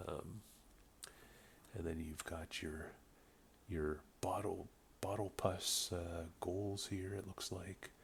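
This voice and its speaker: A man with a voice slightly off from a cold, casually commentating a strategy game replay, relaxed and rambling with many ums. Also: low voice, slight background noise. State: getting over a cold. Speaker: game caster. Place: home room with a buzzing light.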